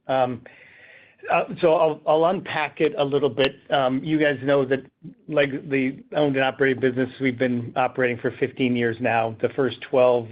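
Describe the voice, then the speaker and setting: Even-toned male executive explaining, haltingly. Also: medium pitch. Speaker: company executive. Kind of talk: unscripted business answer on a call. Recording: slightly dull conference call audio.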